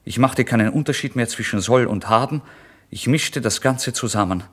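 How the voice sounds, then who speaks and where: An actor playing a starving, desperate young writer in a theatrical, volatile radio play monologue. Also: male voice, medium pitch, normal speed, breathy edge. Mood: brooding, confused. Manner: theatrical, volatile, swinging from hushed to loud outbursts. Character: starving, desperate young male writer, feverish and self-deceiving. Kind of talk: dramatic literary monologue, radio play narration.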